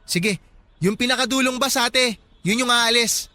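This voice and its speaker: Helpful young provincial Filipino guy for radio drama, male actor: high-pitched, bright voice. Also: a little slow, no room sound, heavily acted. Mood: helpful, hurried.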